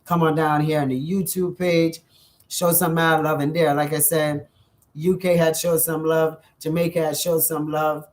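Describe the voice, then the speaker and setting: A Bahamian man vlogging casually to his viewers, effusive, full of thanks and shout-outs, with frequent ums. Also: on the high side. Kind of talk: casual direct-to-camera vlog.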